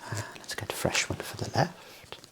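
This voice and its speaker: A soft, breathy whisper in an ASMR medical role play, gently and politely explaining and instructing step by step as a clinician. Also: moderate pace. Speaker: ASMR performer playing a clinician.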